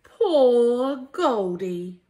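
A woman reads a children's storybook aloud like a primary teacher, in a deliberate, emphatic and expressive way. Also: a little high. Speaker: primary school teacher reading a story to young pupils.

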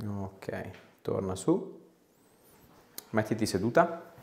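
Young male chiropractor, slightly deep with audible vocal fry, casual clinical questioning and short directions. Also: lively intonation, slow pace, darkish tone. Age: young adult. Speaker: chiropractor examining a patient. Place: small treatment room, indoors.

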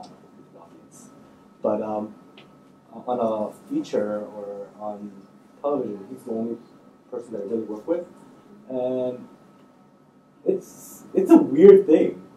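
A man's voice: medium pitch, dark tone. A man in his thirties speaking casually and hesitantly as he answers as a screenwriter on a panel, thinking aloud in informal language. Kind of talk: casual spoken answers at a public talk. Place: indoor stage in an auditorium, seated. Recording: roomy, distant miking, faint noise.

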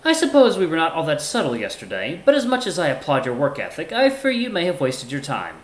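A man voicing a refined male boss in a story, speaking in a polite, measured, formal way. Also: fairly high pitch, wildly swooping pitch, echoey room, voice pushed up.

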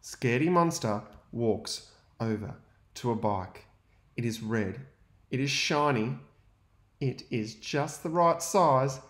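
Slow, expressive male teacher. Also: medium pitch, echoey room. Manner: gentle, expressive storytelling with pauses to ask questions. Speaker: teacher reading aloud to young students. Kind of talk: children's picture book read-aloud.

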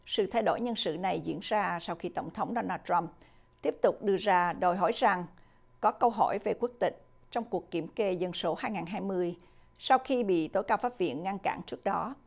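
A middle-aged woman reading the news as a studio anchor, in a steady, formal delivery. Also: fairly low pitch, normal speed, vocal fry. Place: studio with a virtual backdrop.

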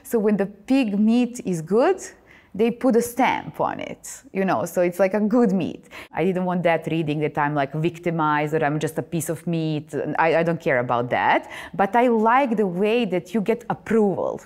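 A woman's voice: on the low side, very animated, moderate pace, darkish tone. A woman in her thirties with a Serbian accent, a visual artist talking animatedly in an interview, with many fillers and restarts. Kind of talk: interview monologue. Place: boxing gym, indoors.

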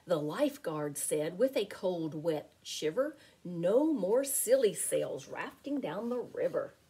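A middle-aged woman reading a picture book aloud to children as a teacher, in an expressive, deliberate, sing-song way. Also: on the low side, slightly rough. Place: small home room with window blinds.

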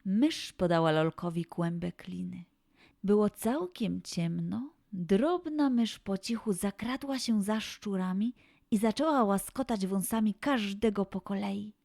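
A woman narrating a children's story slowly and expressively. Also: mid-range voice, gravelly, no echo, clean studio sound. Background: soft background music.

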